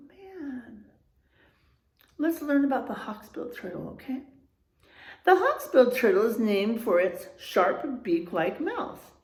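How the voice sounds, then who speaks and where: A woman teacher reading to young children in an expressive way, pausing for explanatory asides and checking in with her listeners. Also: fairly high pitch. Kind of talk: animated children's read-aloud with explanatory asides. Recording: echoey room sound.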